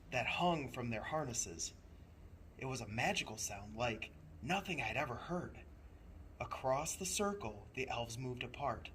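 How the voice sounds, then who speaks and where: Male storyteller, mid-pitched and forceful, theatrical children's book delivery, deliberate pauses, slight background noise. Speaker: storyteller playing a train conductor. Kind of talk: expressive children's storybook narration.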